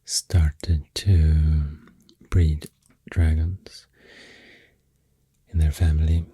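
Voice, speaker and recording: Deep, breathy Swedish-accented ASMR man. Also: little pitch movement, no echo. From Sweden. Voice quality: deep, soft, breathy, half-whispered. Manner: hesitant, slow, halting, self-correcting. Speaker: ASMR artist. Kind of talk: soft-spoken ASMR rambling. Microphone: close microphone.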